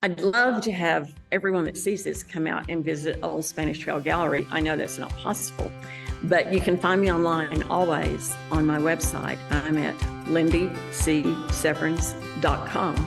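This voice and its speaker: A middle-aged woman with a West Texas drawl and a low, gravelly voice talks about her painting in an earnest, relaxed way. Faint music plays softly beneath her. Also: moderate pace. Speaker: landscape painter. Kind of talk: personal interview monologue. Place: art gallery.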